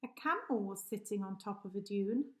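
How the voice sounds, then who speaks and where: A woman's voice: mid-range voice, normal speed, a bit bright. A woman reading a rhyming children's story aloud expressively, like a teacher addressing pupils. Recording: echoey room.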